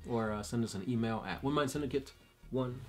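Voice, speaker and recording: A man's voice: mid-range voice, brisk, soft background music. A man co-hosting a casual chat and reading viewer questions, easygoing and thinking aloud with uhs.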